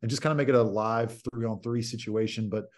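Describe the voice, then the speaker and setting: A man's voice: medium pitch, fairly even intonation, brisk. A man in his thirties, a basketball coach, explaining a practice drill plainly over a video call. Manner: plain, explanatory, many fillers. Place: home office indoors. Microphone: video call webcam.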